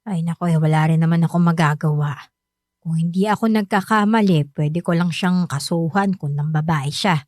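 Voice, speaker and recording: An actress playing a gentle, sorrowful middle-aged mother who pleads softly and hesitantly with her grown son in a radio drama. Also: low-pitched, moderate pace, no room sound, clean studio sound, natural voice. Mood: apologetic. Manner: soft, pleading, hesitant confessions.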